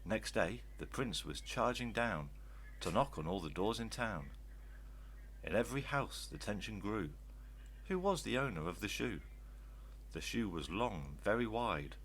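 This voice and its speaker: An older man reading rhyming children's stories aloud in an expressive, theatrical style. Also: on the low side, a little slow, slight background noise. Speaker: children's story reader.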